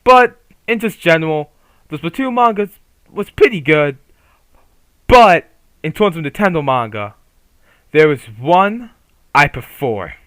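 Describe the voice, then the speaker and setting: Man, casual swearing commentary, rambling restarts, slightly high, bright. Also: measured pace, pauses often, clear, resonant tone. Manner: swears freely, rambling with restarts and animated emphasis. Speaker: YouTuber reviewing a manga.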